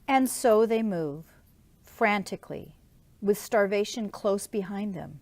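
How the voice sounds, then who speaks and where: Measured woman reading aloud. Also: medium pitch, darkish tone. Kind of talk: audiobook-style scripted narration.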